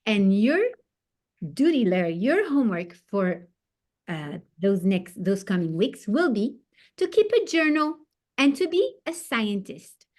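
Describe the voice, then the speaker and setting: A woman's voice: mid-range voice, sing-song, a little slow, a little mellow. A woman voicing a cheerful first-grade teacher who talks to her class in an encouraging, sing-song way.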